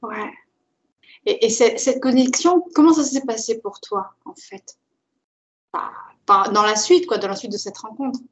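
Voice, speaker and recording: A woman host asking soft, encouraging interview questions and praising her guest's answers effusively. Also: a little high, measured pace, long pauses. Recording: dull lo-fi sound.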